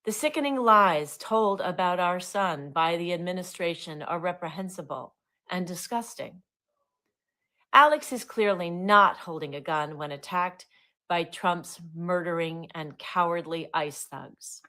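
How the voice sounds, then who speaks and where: A grieving mother reading out a formal statement in a grave, measured way. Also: on the low side, bright, forceful tone. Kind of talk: read statement.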